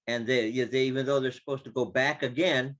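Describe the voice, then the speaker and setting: A man's voice: mid-range voice, fairly even intonation, a little fast, a bit bright. A man, a club member, explaining something casually from his own experience over a video call.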